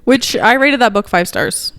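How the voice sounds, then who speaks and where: A woman's voice: on the high side, sing-song, normal speed, creaky, fairly bright tone. A young woman co-hosting a podcast, talking informally with a friend into a handheld microphone held close. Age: young adult. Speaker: podcast co-host. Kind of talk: casual chat. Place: living room, indoors.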